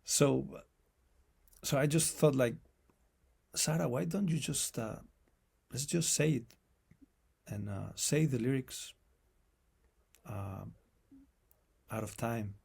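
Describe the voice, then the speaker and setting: Accented male guest, husky and gravelly, thoughtful and halting. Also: mid-range voice, darkish tone. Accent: non-native English accent. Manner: reflective, halting, restarts phrases often. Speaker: guest musician. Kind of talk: casual conversation.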